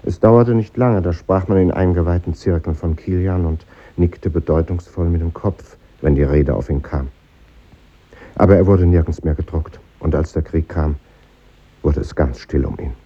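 A man's voice: deep voice, normal speed, warm tone, husky. An actor playing a reflective middle-aged man, narrating his memories in a 1950s radio drama. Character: reflective middle-aged male composer recalling his schoolmates. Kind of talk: scripted first-person radio drama narration. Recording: muffled old radio recording.

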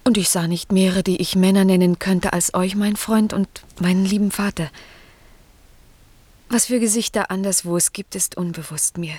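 An actress playing an innocent, sheltered young maiden in classical radio drama, soft and earnest, often sinking to a breathy near-whisper. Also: female voice, medium pitch, fairly even intonation, normal speed, dry recording, lightly acted. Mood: shy.

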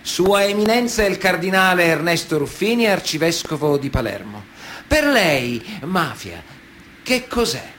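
An actor playing a stern, ironic chronicler, narrating a radio drama in clipped phrases with dramatic pauses. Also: male voice, high voice, sing-song, a bit bright, slight background noise, full character voice.

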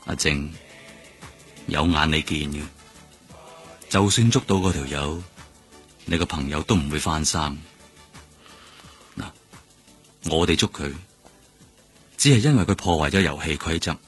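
An actor playing a seasoned, wry police detective in a radio drama, speaking blunt, slangy Cantonese with a Hong Kong accent. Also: male voice, a bass voice, unhurried, pauses often. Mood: grave, cautioning. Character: seasoned male police detective, world-weary, wry, protective mentor. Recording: faint music bed underneath. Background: faint music.

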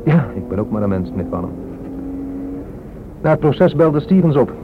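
A man's voice: mid-range voice, sing-song, moderate pace. A man acting a weary, corrupt police inspector in a radio play, frankly explaining and justifying himself. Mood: rueful, self-justifying. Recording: muffled lo-fi radio recording, strong noise.

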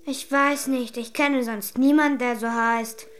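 A woman's voice: fairly high pitch, steady tone, unhurried, soft background music, natural voice. An actress playing a sceptical, down-to-earth young girl in a children's radio drama, blunt and sometimes hesitant.

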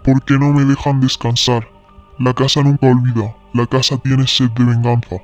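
An actor performs a menacing spectral woman from a haunted house. The delivery is slow and accusatory, barely varying in pitch. Faint music plays behind this horror audio drama voice. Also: level tone, lightly acted. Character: menacing ghostly woman haunting an old mansion.

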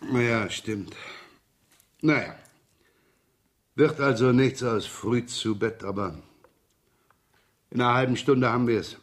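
An actor playing a gruff, sardonic middle-aged police inspector in a 1970s radio crime drama, muttering dryly. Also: male voice, mid-range voice, slow, pauses often, lightly acted. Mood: weary, businesslike.

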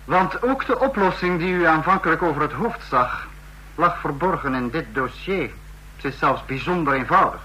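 A stern dictator in a radio play, forceful voice, speechifying very formally. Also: male voice, a little high, lively intonation, slight background noise, low-quality recording. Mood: coolly commanding. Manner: formal, commanding, measured oratory. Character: stern male dictator of a fascist state.

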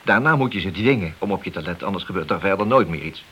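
Glory-hungry policeman, low voice, animated delivery, insistent, radio play, old hissy recording. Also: fast talker. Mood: coaxing, boastful. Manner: insistent, persuasive, emphatic. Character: ambitious, persuasive, glory-seeking policeman plotting an unofficial bust. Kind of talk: radio play dialogue acting.

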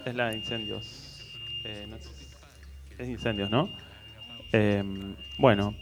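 A man presents live radio in a halting, improvised manner. His lowish voice moves slowly, with plenty of room noise around it. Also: steady tone. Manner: hesitant, halting. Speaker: radio host. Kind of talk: live unscripted radio talk. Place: live radio session in a building.

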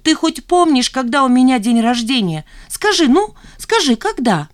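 An indignant woman in her thirties, played by a female narrator, speaks up high and loud with wounded reproach. Every phrase is heated and emphatic, in a dry, close audiobook recording. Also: moderate pace, no room sound, close to an everyday voice. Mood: outraged. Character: hurt, indignant woman of thirty-two confronting her father. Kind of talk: audiobook character dialogue.

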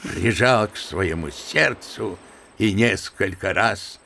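An actor playing an old general and grandfather, telling his memories in an unhurried, bookish, old-fashioned way in a radio drama. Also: lowish voice, gravelly, pushed and bright, a little hiss or hum, exaggerated voice. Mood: fond, bashful. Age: older. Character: old general and grandfather, unhurried naive storyteller, good-natured. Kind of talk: Russian radio drama acting.

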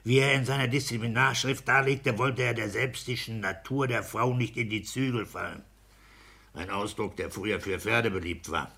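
An actor playing a smug, stubborn petty-bourgeois civil servant, blunt and domineering, in a 1960s German radio play. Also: male voice, medium pitch, steady tone, normal speed, vocal fry, bright, forceful tone, breathy edge, exaggerated voice. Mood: complacent. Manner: blunt, self-satisfied, domineering.

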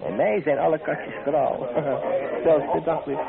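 An actor playing a frivolous, theatrical playboy in a radio play, mocking and flamboyant in manner. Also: male voice, on the high side, fairly even intonation, unhurried, creaky, a crowd in the background. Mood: dismissive, mocking. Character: flippant, theatrical male playboy, frivolous lover. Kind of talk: Dutch radio play acting. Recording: thin phone-like radio recording, music behind. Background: music.

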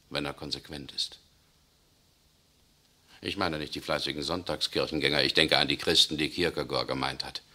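A man acting in a 1960s radio play as a tense German SS officer who secretly resists, speaking urgently, swinging between outbursts and hushed confession. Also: a bass voice, exaggerated voice. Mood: grave. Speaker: radio drama actor.

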